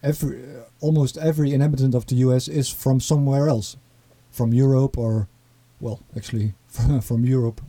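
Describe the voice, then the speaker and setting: A man's voice: mid-range voice, steady tone, warm tone. A man from the east of the Netherlands with a Dutch accent, talking hesitantly as a guest in casual conversation. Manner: hesitant, halting with many fillers.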